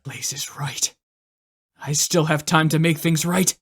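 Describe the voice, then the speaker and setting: A woman's voice: sits low, fairly even intonation, unhurried, slightly rough. A woman playing a self-doubting young male hedgehog hero in an audio drama, earnest, dejected and hesitant. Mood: downcast, earnest. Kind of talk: fan audio drama acting.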